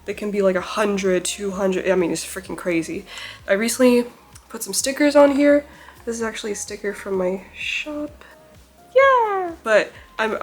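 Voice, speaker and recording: A young woman vlogging casually to camera at home, chatty and bubbly with lots of laughs and fillers, her voice a little off from a recent illness. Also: medium pitch. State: recovering from illness, slightly off voice. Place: indoors at home by a plain wall.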